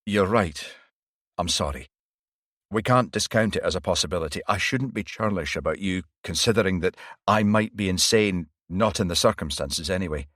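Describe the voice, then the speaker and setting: Narrator reading a feverish male cop, urgent, fairly loud, dry close sound. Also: sits low, normal speed, dry recording. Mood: earnest. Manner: urgent, earnest. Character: intense, feverish off-duty male detective.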